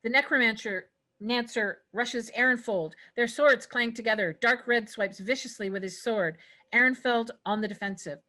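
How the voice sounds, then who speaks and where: A woman's voice: on the high side, normal speed, bright, forceful tone. A woman reading stage directions as narrator in a scripted fantasy table read.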